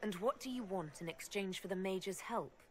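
An actress playing a calm, direct young elf heroine in a video game. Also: female voice, medium pitch, moderate pace, a little hiss or hum, natural voice. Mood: calm, probing. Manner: direct, questioning.

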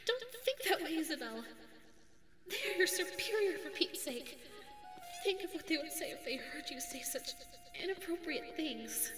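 High sweet female voice, woman acting as anxious cartoonish dog secretary, hesitant, self-doubting, ASMR roleplay, reverberant echo, music behind. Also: very animated, moderate pace. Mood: flustered scolding. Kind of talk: ASMR audio roleplay monologue. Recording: echoey reverberant sound with background music.

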